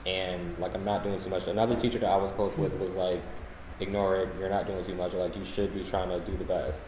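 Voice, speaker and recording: A man's voice: low voice, level tone, brisk. A man co-hosting a podcast, telling casual anecdotes in a conversational way. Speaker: podcast co-host. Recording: echoey room, faint background noise.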